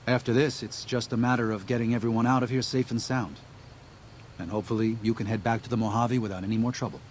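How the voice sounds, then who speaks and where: Man acting an earnest missionary leader, mild, sincere, hesitant, middle pitch, game cutscene, light background noise. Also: fairly even intonation, fast talker, natural voice. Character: earnest, gentle missionary leader guiding wasteland tribes. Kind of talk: video game dialogue.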